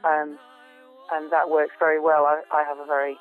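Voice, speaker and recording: A woman with a low voice, a folk musician chatting as a guest in a phone interview, often repeating words while she thinks. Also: level tone, moderate pace. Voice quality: low female voice. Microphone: telephone. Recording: tinny phone line.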